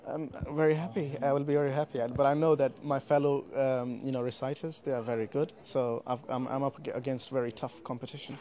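A man who is a competition entrant, answering interview questions earnestly and hesitantly, with many pauses and repeated words. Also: medium pitch, little pitch movement, dark tone, lo-fi sound. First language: not Arabic. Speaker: competition participant. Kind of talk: vox-pop interview answers. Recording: chattering crowd behind.

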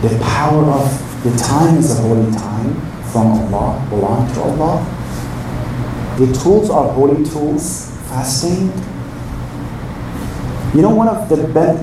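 A man giving a sermon to young listeners, telling stories and asking rhetorical questions, his voice rising for questions and dropping for quiet asides. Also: mid-range voice. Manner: animated, swings from loud questions to quiet asides. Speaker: religious speaker addressing young listeners. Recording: very echoey room, far from mic, vehicle noise.

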